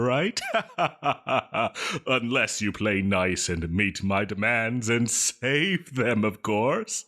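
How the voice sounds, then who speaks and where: A giggly, theatrical supervillain with swooping pitch. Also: male voice, fairly bright tone. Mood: gleeful menace. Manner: theatrical, giggly, mock-polite, needling. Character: theatrical obsessive male supervillain, playfully menacing and flirtatious. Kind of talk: solo audio roleplay addressed to listener.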